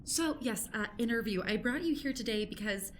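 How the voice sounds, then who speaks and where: Woman playing an eager, high-voiced awkward reporter. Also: animated, normal speed, a little hiss or hum, natural voice. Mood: nosy, flustered. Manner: hesitant, self-conscious, polite and prying. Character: eager, awkward woman amateur journalist trying too hard. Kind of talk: improvised comedic radio play acting.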